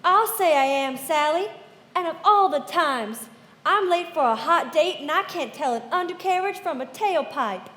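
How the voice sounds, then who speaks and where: A woman's voice: sits high, lively intonation, moderate pace, a bit bright. A woman playing a wide-eyed, gushing friend in an old-time radio commercial, with exaggerated gee-whiz earnestness. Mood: gee-whiz, eager. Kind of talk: 1940s radio advertisement acting. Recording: echoey hall, stage live, music behind.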